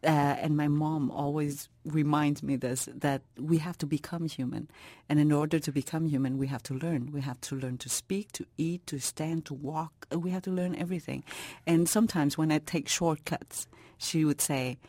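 Expressive middle-aged woman, big laugh. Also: low-pitched, normal speed, dry recording. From Vietnam. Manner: expressive, lively, many restarts. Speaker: author, guest. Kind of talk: interview conversation.

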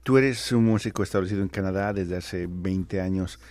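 A man hosting a radio interview, introducing his guest and asking questions in a measured, friendly way. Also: deep voice, a little mellow, faint music behind. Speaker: radio host.

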